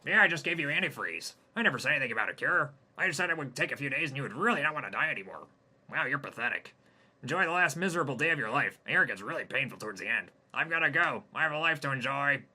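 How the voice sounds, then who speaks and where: A man's voice: mid-range voice, animated, fast, bright, forceful tone, slightly rough, voice pushed up, full character voice. A cruel male alien with a lifted, pushed voice, smug and taunting as he gloats over his friend, played by a man reading the story. He sounds pleased with himself.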